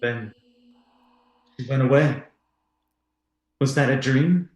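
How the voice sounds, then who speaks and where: An earnest widower , acted by a man, speaks with halting intensity, as if haunted. The delivery is formal and theatrical, in old-time radio drama style, with a clearly echoing room around the voice. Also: medium pitch, natural voice. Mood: awed, intense. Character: earnest, haunted bereaved husband. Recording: roomy, echoing sound.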